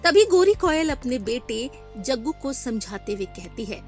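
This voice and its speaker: Lively woman narrating a cartoon tale. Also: a little high, unhurried, faint music behind. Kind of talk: scripted cartoon story narration.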